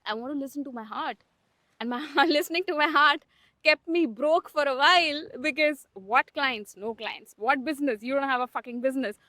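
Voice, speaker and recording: High-voiced, blunt young woman host. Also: normal speed, pushed and bright, dry recording. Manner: emphatic and blunt, swearing for emphasis. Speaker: podcast host. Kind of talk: candid conversational podcast talk.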